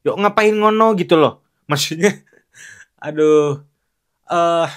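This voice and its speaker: A man's voice: a little high, slow pace, a bit bright, smooth. A young man giving casual, frank commentary on film acting, talking loosely with many fillers and restarts.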